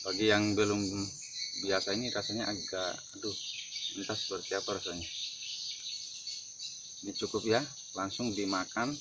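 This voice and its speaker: Male vlog presenter, lowish voice, halting informal explanations, clearly noisy outdoor recording with insects. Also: level tone. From North Buton, Southeast Sulawesi, Indonesia. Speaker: herbal remedy video presenter.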